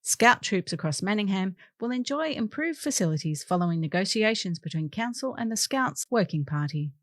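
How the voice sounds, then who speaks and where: A woman's voice: mid-range voice, animated, moderate pace, no echo, clean studio sound. A woman reading local newspaper articles aloud as scripted narration. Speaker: volunteer newspaper reader.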